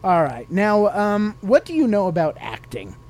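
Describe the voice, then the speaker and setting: Casting: male podcast host talking casually with a kid, expressive swooping delivery, playful questioning. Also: high-pitched, moderate pace, a little hiss or hum. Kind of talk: casual chat with a child.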